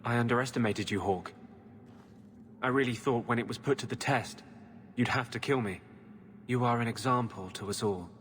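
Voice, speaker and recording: An actor as a grave idealistic rebel, reverberant. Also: male voice, medium pitch, normal speed, pauses often, fairly bright tone, a little hiss or hum, lightly acted. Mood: grave, grateful. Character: idealistic male rebel, intense, grave, grateful. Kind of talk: dramatic video game cutscene acting. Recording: long reverb, game music behind.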